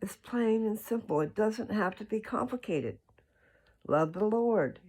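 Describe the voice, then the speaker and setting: A person with a creaky, gravelly voice reads and explains scripture in an informal, folksy, rambling way, often checking in with the listener. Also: mid-range voice, expressive, unhurried, a little mellow, rough recording. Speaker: host reading scripture aloud and explaining it. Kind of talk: scripture read aloud with casual, rambling commentary.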